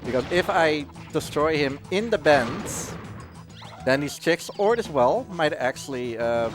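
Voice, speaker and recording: A male speedrunner talks through his play in a casual, joking way. His voice sits a bit high and moves around a lot in pitch. Game music is clearly heard behind him. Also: animated, a little slow. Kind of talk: casual live gameplay commentary. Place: live gaming marathon event.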